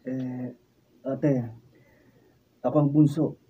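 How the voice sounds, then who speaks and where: Deferential, hesitant youngest brother, radio drama. Breathy mid-pitched voice, distant room recording. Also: male voice, flat delivery, very slow, muffled recording.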